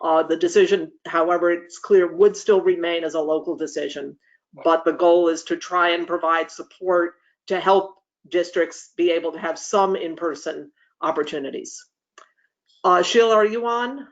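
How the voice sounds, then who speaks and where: A woman giving a policy briefing in a measured, formal, explanatory way. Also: lowish voice. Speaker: policy presenter. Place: online video meeting. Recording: dull lo-fi video call audio.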